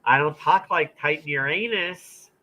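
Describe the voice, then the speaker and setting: A man's voice: a little high, highs cut off. A man with a nasal voice co-hosting a podcast in casual chat, often stumbling over the start of his sentences. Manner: hesitant, halting sentences. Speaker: co-host.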